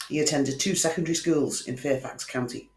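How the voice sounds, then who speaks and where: A man's voice: mid-range voice, a little fast, a little mellow, slight background noise. A man hosting a true crime channel, telling a case in scripted narration with wry conversational asides to the viewer. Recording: echoey room.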